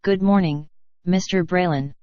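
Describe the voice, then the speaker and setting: A woman's voice: fairly low pitch, measured pace, dark tone, clean tone, no room sound, close to an everyday voice. A synthetic female narrator voicing a meek, deferential servant woman in an audiobook, with stilted delivery. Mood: compliant, deferential. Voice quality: synthetic text-to-speech voice. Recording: dull, lo-fi audio.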